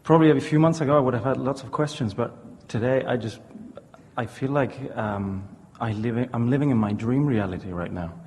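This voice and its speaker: A long hall reverb trails a man with an average-pitched voice. He is an audience member speaking off the cuff about himself, and his phrasing is tentative and halting as he works toward a question. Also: darkish tone. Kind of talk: unscripted personal sharing.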